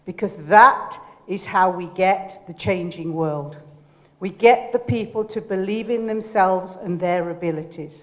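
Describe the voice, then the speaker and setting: An older woman giving a motivational keynote speech, loud and commanding, directing the audience. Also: on the low side, unhurried. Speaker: keynote speaker. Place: lecture hall. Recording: lecture hall reverb.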